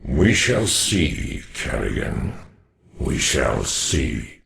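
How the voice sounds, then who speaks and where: A man playing a grave, proud ancient alien warrior in a sci-fi game, with a gravelly voice and solemn, stern, formal delivery. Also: big pitch swings, very slow, a bit bright, full character voice. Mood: angry accusing. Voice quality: deep, gravelly. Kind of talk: dramatic sci-fi game voice acting.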